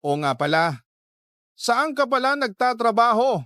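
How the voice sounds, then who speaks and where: A man's voice: very high voice, big pitch swings, a little slow, sharp tone, no echo, studio quality, voice pushed up, full character voice. A man voicing a chatty, nosy young female friend in a radio drama, with a probing, exclaiming manner. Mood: probing concern.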